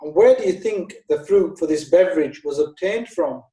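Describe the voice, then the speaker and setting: An actor playing a brisk prosecutor who questions witnesses formally. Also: male voice, a little high, animated, lightly acted. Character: businesslike male prosecutor. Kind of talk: amateur radio drama. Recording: echoey room, distant from the mic.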